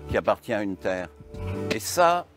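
Man, sixties, from Brittany, author, reflective interview, mid pitch, instrumental music behind. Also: big pitch swings, moderate pace. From Brittany, France. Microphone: lapel mic.

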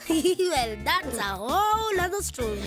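A woman's voice: very high voice, big pitch swings, measured pace, loud music behind the voice, full character voice. A woman voicing a playful, cheery monkey who tells stories in a children's cartoon. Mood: storytelling. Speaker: storyteller.

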